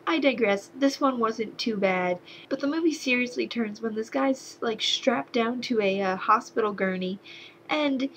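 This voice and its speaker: Young woman movie reviewer, slight Southern accent, higher voice, sarcastic rants with exasperated outbursts. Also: measured pace, slight background noise, low-quality recording. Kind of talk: casual movie review to camera.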